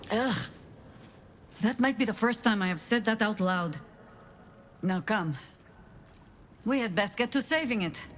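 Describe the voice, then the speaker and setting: A woman playing a proud, wry older spymaster in a game, speaking in a measured, dry, commanding way. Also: mid-range voice, lightly acted. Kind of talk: dramatic game dialogue. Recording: background noise and ambience.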